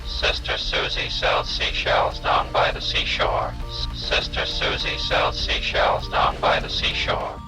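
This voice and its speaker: A man's voice rebuilt entirely from hiss by a vocoder, whispery and toneless. He gives a technical demonstration in a vintage recording, with loud music over it. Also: a little slow, hardly any pauses, poor audio. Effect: vocoder remade from hiss, whispery and unvoiced.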